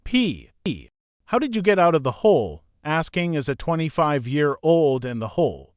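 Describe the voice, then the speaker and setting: Male narrator for read-aloud forum posts; smooth, slightly high voice, dry close recording. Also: big pitch swings, moderate pace, no echo. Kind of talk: scripted narration.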